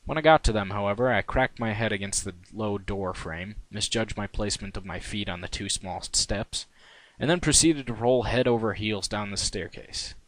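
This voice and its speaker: A man's voice: on the low side, normal speed, fairly bright tone, no echo, low-quality recording. A man reading his own first-person fan fiction in a wry, conversational way. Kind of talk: first-person story narration.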